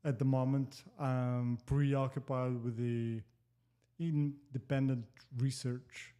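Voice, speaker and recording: Slow, mellow Moldovan man. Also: mid-range voice, little pitch movement, clear, resonant tone. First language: Russian. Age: 40s. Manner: slow, careful phrasing with pauses. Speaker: guest. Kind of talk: casual interview.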